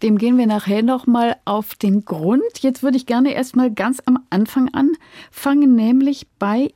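Mellow-voiced woman hosting a radio interview. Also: a little high, measured pace, dry recording, studio quality. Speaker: radio host interviewing a studio guest. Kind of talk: prepared radio interview questions. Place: radio studio.

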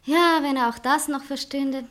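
A woman's voice: high-pitched, steady tone, normal speed, a bit bright. An actress playing a proud, sentimental woman novelist, dramatic and self-justifying in a satirical stage play. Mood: wistful. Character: proud, sentimental woman novelist torn between two lovers. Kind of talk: stage satire acting in literary German.

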